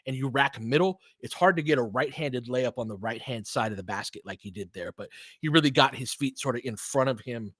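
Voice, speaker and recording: Guy doing live hoops commentary, slangy and chatty, gets into the tactics, medium pitch, dry sound no room. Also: fairly quick, hardly any pauses, fairly bright tone, breathy edge, dry recording. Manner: conversational, slangy, explains tactics in detail. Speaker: play-by-play announcer and analyst. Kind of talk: live basketball commentary, informal and analytical.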